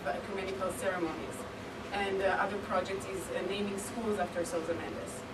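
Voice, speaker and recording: A woman with a foreign accent giving a formal speech for a foundation at a ceremony, partly read and full of fillers and restarts. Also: medium pitch. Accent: non-native English, not Portuguese. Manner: halting, with frequent fillers and restarts. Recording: distant mic with loud room noise.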